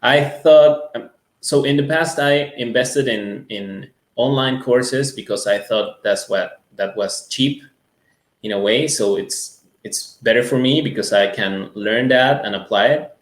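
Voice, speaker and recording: A man's voice: medium pitch, a little slow. Roomy-sounding man, native Spanish speaker. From Ecuador. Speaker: music school owner, interview guest. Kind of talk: conversational testimonial.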